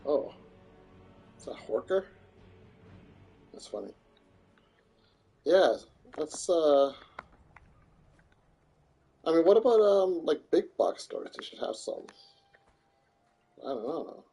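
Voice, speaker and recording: Man, gravelly, mellow, chatty game streamer. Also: fairly high pitch, sing-song, slow pace, long pauses. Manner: chatty, joking, talks to chat and characters. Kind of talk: casual gameplay commentary. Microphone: headset or desk mic.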